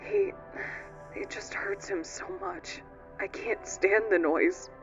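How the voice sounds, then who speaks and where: Timid, gentle young nurse, wavering pitch woman acting. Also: very animated, takes it slowly, gravelly, muffled recording, full character voice. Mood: anxious. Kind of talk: dramatic fan dub of a video game. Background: music.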